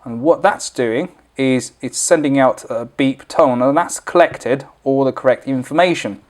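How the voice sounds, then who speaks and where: A man's voice: mid-range voice, unhurried. A man presenting an informal, unscripted product tutorial, explaining things step by step as he demonstrates.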